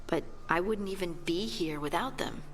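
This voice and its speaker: An actress playing a young dwarf woman scout in a video game, warm, resolute and earnest with a gently teasing manner. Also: fairly low pitch, moderate pace, close to an everyday voice. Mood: reflective, hopeful.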